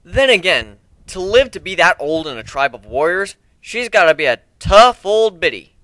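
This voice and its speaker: Male amateur narrator, first-person dramatic reading, wry, bright and tense, dry recording. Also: sits high, very animated, moderate pace, no room sound, rough recording.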